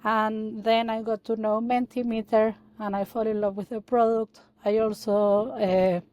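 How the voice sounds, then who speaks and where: Woman from Chile, halting and soft. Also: a little high, flat delivery, dull audio. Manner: halting, many fillers and restarts. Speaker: guest, data analyst. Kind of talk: casual interview talk.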